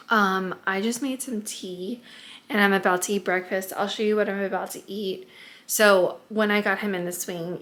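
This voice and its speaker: A young woman vlogging casually at home as a stay-at-home mom, chatty and often hushed, congested and a bit raspy from allergies. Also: medium pitch. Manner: chatty, lots of "like" and "um", often hushed to not wake the baby. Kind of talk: casual vlog talk to camera.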